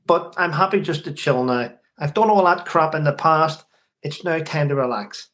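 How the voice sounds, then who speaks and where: A man in his forties hosting a podcast, chatting in a relaxed, laid-back way. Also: fairly high pitch, moderate pace. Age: 40s. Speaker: podcast host. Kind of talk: casual chat.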